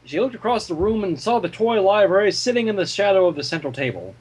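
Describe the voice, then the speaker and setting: A man reading fan fiction aloud as an expressive story narrator. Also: high-pitched, fast, breathy edge, low-quality recording.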